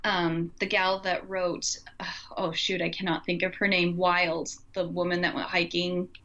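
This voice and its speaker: A woman's voice: on the low side, completely flat delivery, measured pace, a bit bright. Woman podcaster on dull, lo-fi audio. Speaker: podcast co-host. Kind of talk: casual conversational podcast chat.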